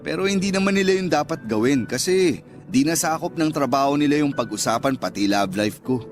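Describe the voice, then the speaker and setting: An actor playing a smooth-talking, indulgent restaurant owner courting his lover in a radio drama, casual and coaxing. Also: a little high, animated, brisk, close to an everyday voice. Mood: flirtatious, playful. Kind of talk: Tagalog radio drama dialogue. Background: background music.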